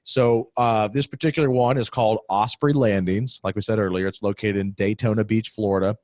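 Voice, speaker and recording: A man in his thirties hosting an interview podcast from a home office on a desk mic, upbeat and quick. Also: lowish voice, steady tone, darkish tone, dry recording, studio quality. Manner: upbeat, quick, scripted-sounding intros. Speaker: podcast host. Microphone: desk podcast mic on video call.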